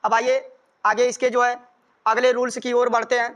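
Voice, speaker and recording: A man's voice: very high-pitched, level tone, normal speed, a bit bright, highs cut off. A man with an Indian accent giving a grammar lesson as a teacher, explaining rules step by step and repeating them.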